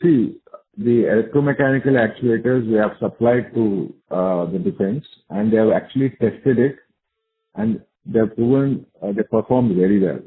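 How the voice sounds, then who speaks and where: An Indian-accented man with a fairly low voice answers questions as a company executive on a conference call. He sounds assured and repeats his points for emphasis. The sound is narrow and tinny, like a telephone line. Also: moderate pace.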